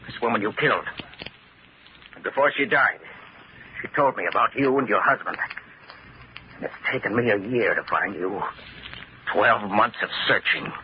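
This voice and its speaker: An actor playing a bitter, vengeful widower with a dramatic, brooding manner in an old-time radio mystery. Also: male voice, fairly low pitch, moderate pace, pauses often, natural voice. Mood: brooding grief. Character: bitter, vengeful widower hunting his wife's killer. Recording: muffled, noisy old radio sound.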